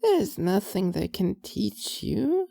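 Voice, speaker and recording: A woman voicing a frail, gentle dying old woman in an audio drama, speaking haltingly with weary resignation. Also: medium pitch, big pitch swings, vocal fry, no echo, full character voice. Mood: weary, kindly.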